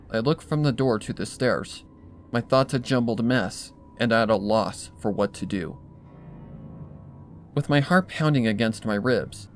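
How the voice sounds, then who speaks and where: A man's voice: on the low side, a little hiss or hum. A man reading a scripted first-person horror fan story as its narrator. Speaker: fan fiction reader.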